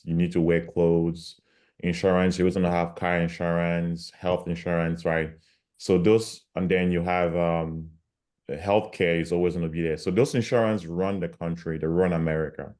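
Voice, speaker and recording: Man, from cameroon, very deep voice, casual emphatic talk, video call. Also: darkish tone. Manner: repetitive, conversational, emphatic. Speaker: guest speaker on job searching.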